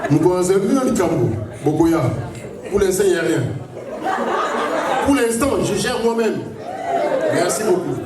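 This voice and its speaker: A man's voice: high-pitched, expressive, measured pace, pauses often. Man emceeing a party in an echoey hall. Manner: playful, teasing the crowd. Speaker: party host. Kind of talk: joking emcee banter with guests. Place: large indoor hall at a party. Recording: echoey hall, far off, loud crowd.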